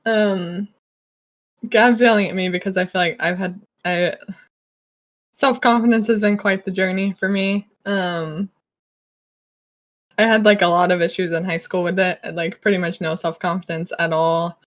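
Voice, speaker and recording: A woman's voice: lowish voice, fairly even intonation, normal speed. A woman of twenty-three sharing personal stories in a casual panel chat, rambling and giggly. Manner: rambling, giggly, open about herself. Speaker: streamer.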